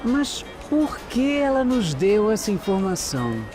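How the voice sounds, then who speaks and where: A Brazilian woman playing a composed, analytical detective who narrates her case in an audio drama with measured, deliberate delivery. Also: medium pitch, very animated. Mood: focused, wary. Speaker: lead actress and narrator. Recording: loud music and street sounds behind. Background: music, urban street ambience with vehicles.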